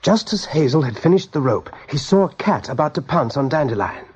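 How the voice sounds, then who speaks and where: A man's voice: a little high, animated, brisk, a little mellow, slightly rough. A man narrating a radio drama as a storyteller, with vivid, suspenseful delivery. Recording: dull 1980s radio recording.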